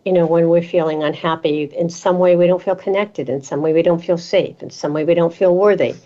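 Low-voiced woman radio host, lo-fi. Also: steady tone, fairly quick, dark tone. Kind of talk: interview host chat. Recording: dull lo-fi sound.

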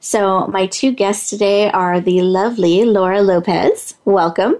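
A woman with a high voice and a giggling laugh, hosting a talk show in a playful, motivational way. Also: steady tone, a little slow, darkish tone.

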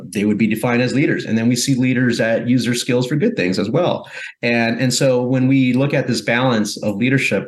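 A man from Guatemala, a guest in an interview, giving thoughtful answers with frequent pauses and restarts. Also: on the low side. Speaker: guest, corporate philanthropy executive.

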